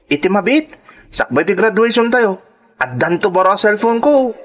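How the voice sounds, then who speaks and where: A man's voice: sits high, expressive, normal speed, fairly bright tone. A man playing a spoiled, demanding teenage schoolboy in a radio drama, whiny and insistent, with an Ilocano accent. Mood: boastful, dismissive. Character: spoiled, demanding teenage high school boy pestering for gifts.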